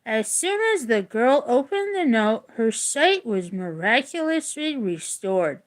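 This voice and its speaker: Slow, high-voiced woman reading aloud expressively. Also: very animated. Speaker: teacher reading a book aloud. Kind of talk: expressive read-aloud with casual explanatory asides.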